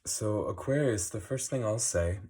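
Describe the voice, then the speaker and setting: A man with a cold, giving a slow, casual card reading with many ums. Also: lowish voice, warm tone, slightly rough. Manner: unhurried, many ums and uhs. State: recovering from a cold, sniffly. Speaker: tarot reader. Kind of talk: monthly astrology card reading.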